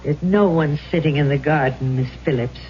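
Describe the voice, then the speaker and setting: An actress playing a prim, cautious, motherly older landlady in an old-time radio drama, speaking in a measured, admonishing way. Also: low-pitched, natural voice. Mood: wary, measured. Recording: dull old radio recording.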